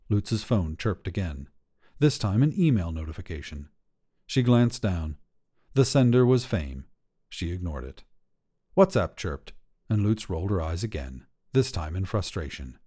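A man reading short fiction as a podcast narrator, in a measured, careful way. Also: lowish voice, dark tone.

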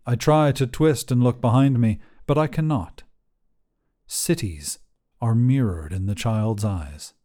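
A man narrating a literary story as a weary, desolate immortal guardian, in a solemn, measured, brooding manner. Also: mid-range voice, mellow, close to an everyday voice. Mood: somber. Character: weary, desolate immortal guardian, forsaken by gods, telling their tale.

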